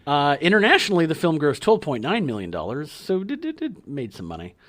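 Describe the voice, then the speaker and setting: A man's voice: fairly high pitch, wildly swooping pitch, a little fast. A man co-hosting a podcast, reading facts from notes in casual chat with fillers. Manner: reads notes with fillers, laughs along. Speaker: podcast co-host.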